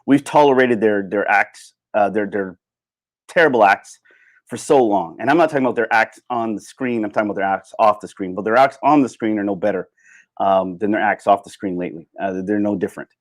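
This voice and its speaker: A man giving a casual, opinionated livestream rant, sardonic and emphatic, with halting starts and repeated phrases. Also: lowish voice. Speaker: livestream host.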